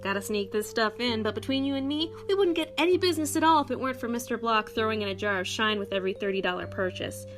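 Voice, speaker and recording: A woman's voice: a little high, fast, few pauses, music in the background, natural voice. A woman playing a chatty, outspoken young store clerk in a radio play, talking in a folksy, slangy, confiding way. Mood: friendly, confiding.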